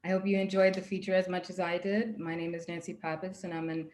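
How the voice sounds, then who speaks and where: A festival programmer moderating a recorded Q&A, asking friendly, curious questions. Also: female voice, lowish voice, flat delivery, quick pace, darkish tone, reverberant, slight background noise. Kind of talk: hosting a recorded Q&A.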